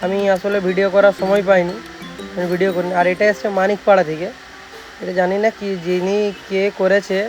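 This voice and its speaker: A man's voice: high voice, darkish tone, highs cut off. A man with a West Bengal Bengali accent, narrating a casual vlog as a repair technician, informal and given to repeated tag phrases. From West Bengal, India. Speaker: speaker repair technician and channel host. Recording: music playing behind the voice. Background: music.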